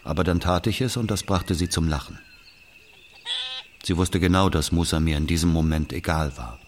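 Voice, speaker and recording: A man's voice: low-pitched, normal speed, slight background noise, close to an everyday voice. A man playing a wistful Algerian narrator recalling love and loss in a literary audio drama. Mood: tender, wistful. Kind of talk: dramatic first-person narration.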